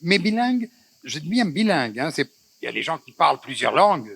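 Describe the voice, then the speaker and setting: A man born in Morocco, with Spanish as his first language, moderates a festival panel in a measured, reflective way with frequent pauses. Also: a little high, big pitch swings, gravelly, a bit bright, breathy edge, no room sound.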